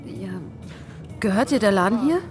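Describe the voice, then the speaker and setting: An actress playing a guarded young woman on the run, speaking haltingly in a naturalistic radio drama. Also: mid-range voice, lively intonation, music in the background, natural voice. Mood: tentative.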